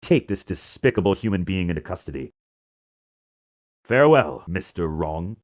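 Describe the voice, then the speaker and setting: A man's voice: low-pitched, lively intonation, moderate pace. A man voicing a pompous, manipulative businessman villain in a video game dub, with a theatrical, wordy delivery. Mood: smug.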